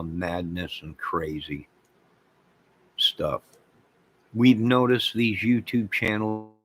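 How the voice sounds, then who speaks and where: A man with an American accent and a fairly low voice speaks as an online channel host. He rants with strong opinions straight to his chat viewers. His delivery is emphatic, hammering points home by repeating them. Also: a bit bright. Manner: emphatic, repeats phrases, mocks opposing views sarcastically.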